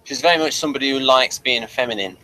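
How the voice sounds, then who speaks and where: Bright-voiced British man, halting delivery. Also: medium pitch, poor audio. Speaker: host of a TV review show. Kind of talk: casual review chat from notes.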